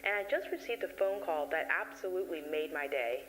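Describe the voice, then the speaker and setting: A woman playing a professional therapist recording case notes in a measured, formal, clinical manner for an analog horror drama. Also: sits low, animated, poor audio. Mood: pleased, hopeful. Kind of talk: scripted audio-log acting in an analog horror series.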